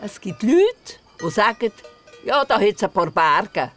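Slow-talking spirited old Swiss grandmother. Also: mid-range voice, sing-song, a bit bright, soft background music. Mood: teasing, proud. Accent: Swiss German, Glarus. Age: older. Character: spirited old village grandmother, fond of giant tales. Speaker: storyteller in an audio play. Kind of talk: animated dialect storytelling in a radio play.

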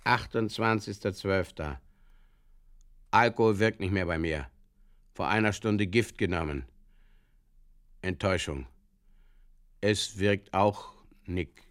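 A man playing a despairing drinker who reads out absurd diary entries in terse, deadpan fragments, in a comic audio drama. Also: deep voice, takes it slowly, long pauses, clean tone. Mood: bleak, weary.

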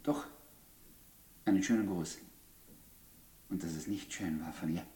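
A man's voice: deep voice, dark tone. An actor playing a hesitant, lovesick, polite young man in a 1960s German radio play. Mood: downcast. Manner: polite, halting, flustered. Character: hesitant, lovesick young suitor, polite drifter heading for the provinces. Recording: roomy 1960s radio studio recording.

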